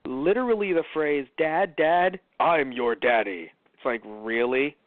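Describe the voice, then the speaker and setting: Male review co-host from New York, slightly high voice, very dry close sound, rambling and jokingly whiny. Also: unhurried, dry recording, narrow, tinny audio. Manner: rambling, jokingly whiny, acts out mock quotes. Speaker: radio show co-host reviewing films. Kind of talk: casual comic banter and film review.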